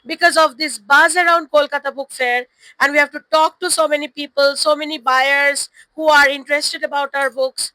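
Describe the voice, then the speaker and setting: A woman with a hoarse voice, hosting and introducing guests at a book fair stall with effusive, formal introductions. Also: sits high, fairly even intonation, normal speed, sharp tone, no room sound, low-quality recording. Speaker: publisher's host. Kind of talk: event introduction.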